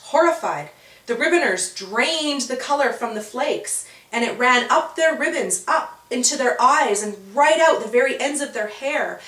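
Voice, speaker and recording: A woman narrating a scripted children's story in a measured, dramatic storytelling manner. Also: high-pitched, a bit bright. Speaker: author and narrator. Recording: roomy, distant from the mic.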